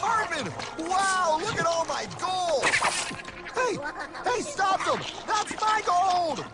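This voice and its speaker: A naive cartoon fellow with a very high, whiny voice. He stammers and protests in bewilderment, his pitch jumping around over background music. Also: big pitch swings, slow pace, full character voice. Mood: alarmed. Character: naive, whiny, gullible cartoon everyman named Pyle. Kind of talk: animated cartoon dialogue. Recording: music behind the voice.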